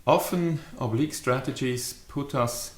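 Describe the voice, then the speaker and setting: A man in his fifties giving a reflective, explanatory talk to camera as a leadership adviser, with measured, deliberate phrasing. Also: medium pitch. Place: small furnished study or living room, indoors. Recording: echoey living room.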